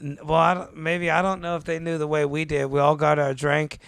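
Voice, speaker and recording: A man co-hosting a podcast, telling travel stories in a laid-back, animated way and calling his listener dude and bro. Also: on the high side, brisk, gravelly, smooth, no room sound. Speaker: podcast co-host. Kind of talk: casual chat. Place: New York.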